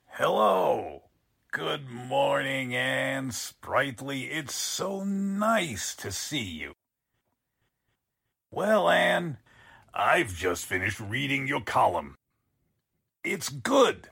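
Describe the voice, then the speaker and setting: An actor playing a sarcastic, blustering newspaper editor in a 1940s-style radio drama, with a husky voice. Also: male voice, mid-range voice, sing-song, drawn-out words, long pauses, pushed and bright, full character voice. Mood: mock sweetness. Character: exasperated, sarcastic male newspaper editor boss.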